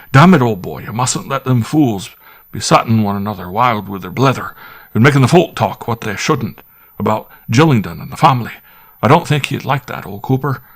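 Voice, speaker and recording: A man voicing a middle-aged country squire who speaks in loud, pleading rustic dialect. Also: medium pitch, vocal fry, voice pushed up, lightly acted.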